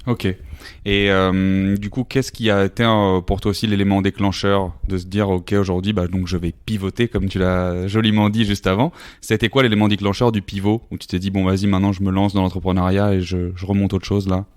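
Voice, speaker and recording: A man's voice: low-pitched, flat delivery, a little fast, smooth. A man hosting a podcast, upbeat and motivational, speaking straight to the listener and asking his guest questions. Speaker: podcast host. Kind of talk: podcast intro and interview questions. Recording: music playing behind the voice. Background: guitar music.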